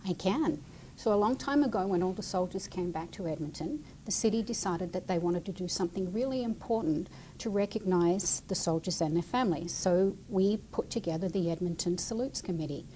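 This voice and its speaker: A woman's voice: lowish voice, fairly quick, mellow, slight background noise, rough recording. A woman explaining her work to children as a guest, in plain, chatty language. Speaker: city military liaison and veteran, guest speaker.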